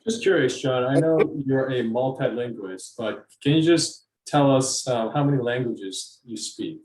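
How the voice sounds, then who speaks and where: A man's voice: medium pitch, normal speed, darkish tone, recorded from a distance. A man hosting a podcast, asking polite, curious questions of his guest. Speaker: podcast host. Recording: roomy echo.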